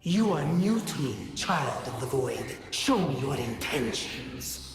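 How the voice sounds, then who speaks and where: Deep, echoing inhuman void empress. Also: female voice, sing-song, exaggerated voice. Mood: cold, hungry. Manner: regal, deliberate, commanding. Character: imperious inhuman empress of the Void, coldly menacing. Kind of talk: scripted game voice lines. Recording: cavernous reverb, music underneath. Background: music.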